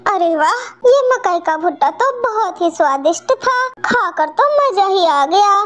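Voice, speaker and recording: Woman voicing a very high-pitched sly cartoon crow. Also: expressive, unhurried. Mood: gloating. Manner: brash, cunning. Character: sly, greedy, boastful female crow thief. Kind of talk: Hindi children's cartoon dialogue.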